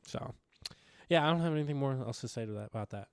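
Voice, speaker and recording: A young man co-hosting a casual sports podcast, slightly raspy from recent illness, rambling with lots of fillers and restarts. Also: medium pitch, expressive, fast talker, dry recording, studio quality. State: recovering from illness, a bit raspy. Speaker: podcast co-host.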